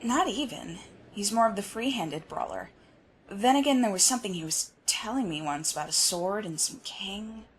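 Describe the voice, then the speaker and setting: A woman's voice: medium pitch, animated, normal speed, slight background noise, close to an everyday voice, rough recording. A woman playing a confident, no-nonsense female agent in a fan audio drama. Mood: wry.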